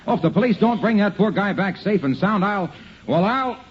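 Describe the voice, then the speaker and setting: High, swooping salesman. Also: lively intonation, fairly quick. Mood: shocked, distressed. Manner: effusive, dramatic. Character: gushing, overdramatic salesman pal obsessed with floor wax. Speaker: announcer-pitchman acting in a radio comedy. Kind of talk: 1950s radio comedy with sponsor pitch. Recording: dull, noisy old radio recording.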